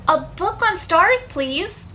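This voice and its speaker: A woman's voice: very high-pitched, expressive, slow pace, pushed and bright, slight background noise, voice pushed up, full character voice. A woman voicing a curious, wide-eyed young boy in a picture book, wondering and eager.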